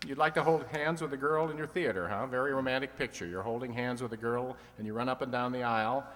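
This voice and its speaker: A wry, teasing quiz show host played by a man quips his way through an old-time radio comedy program. His medium-pitched voice rings in a long hall reverb. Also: fast talker, fairly bright tone, lightly acted. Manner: wry, quipping. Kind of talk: 1940s-50s comedy radio quiz re-enactment.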